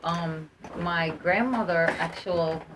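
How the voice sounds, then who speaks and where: A woman's voice: lowish voice, takes it slowly. A young woman from Egypt, chatting casually as a podcast guest and leaning on fillers like "like" and "you know.". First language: Arabic. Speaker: guest, musical artist. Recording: roomy sound, mic at a distance, faint noise.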